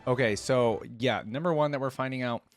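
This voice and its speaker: A man's voice: medium pitch, brisk, faint music behind. A man giving casual reaction commentary, musing aloud with curiosity as host.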